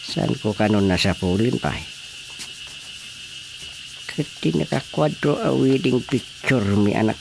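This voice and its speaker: Radio drama husband: Ilocano accent, apologetic, expressive, unhurried, some background noise. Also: male voice, on the low side, creaky, exaggerated voice. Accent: Ilocano Filipino. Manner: expressive, swooping delivery. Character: straying husband and father, plaintive, apologetic, excitable.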